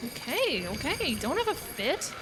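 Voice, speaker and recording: A brash young carnival worker in a horror audio drama. Her voice is high and lively, with a sassy, pushy, excitable delivery. Music plays strongly behind her. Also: measured pace, rough recording. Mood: teasing, impatient.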